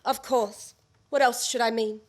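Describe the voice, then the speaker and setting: A woman's voice: a little high, steady tone, a little slow, lightly acted. A woman playing an indignant, melodramatic wife in a radio play farce, haughty and theatrical in her delivery. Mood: haughty indignation.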